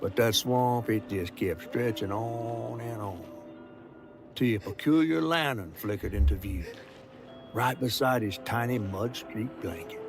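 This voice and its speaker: A man's voice: medium pitch, a little slow, lightly acted. Man acting a folksy Southern swamp storyteller over music. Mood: grave, mournful. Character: folksy Southern male storyteller of swamp legends, knowing and grave. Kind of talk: dramatic storytelling for a video game.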